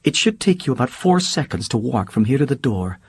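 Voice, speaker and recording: Male reader putting on a flighty young woman, high and lilting, husky, dry close sound, teasing and brash. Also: very animated, fairly quick, no echo. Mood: cold. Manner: breezy, teasing, sharp-tongued. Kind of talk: audiobook character voice.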